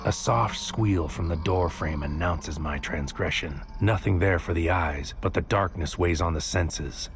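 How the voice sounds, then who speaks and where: Low male lead: wary noir investigator, brooding measured narration, sci-fi audio drama, music bed. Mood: brooding, tense. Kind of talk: first-person scripted audio drama narration, present tense.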